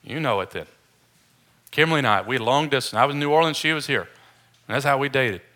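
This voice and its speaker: A man's voice: medium pitch, fast talker, sharp tone. A man preaching a conversational sermon, informal and joking with his congregation.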